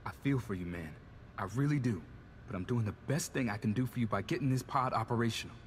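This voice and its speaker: An actor playing a plain-spoken, resigned but determined American technician ally in a video game, casual and matter-of-fact. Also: male voice, mid-range voice, fast talker, slight background noise, lightly acted. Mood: insistent. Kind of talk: video game cutscene acting.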